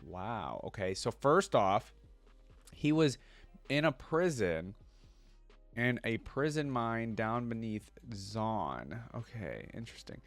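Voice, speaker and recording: A man's voice: lowish voice, sing-song, no room sound, soft background music. A man making a reaction video, switching between reading lore aloud and informal, musing commentary. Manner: informal, pausing to explain and muse.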